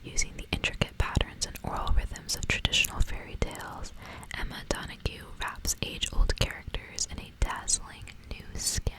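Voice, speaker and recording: A woman hosting ASMR in a breathy whisper, chatting casually and reading from a book. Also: moderate pace, poor audio. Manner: casual, rambling. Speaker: ASMR host.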